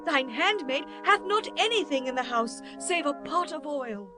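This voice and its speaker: A woman's voice: sits high, animated, normal speed, over music. An actress playing an earnest, devout woman of the Bible in a dramatized scripture audiobook, speaking in a solemn, formal way. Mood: pleading. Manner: solemn, formal archaic delivery. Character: pleading, earnest biblical woman, devout widow or mother.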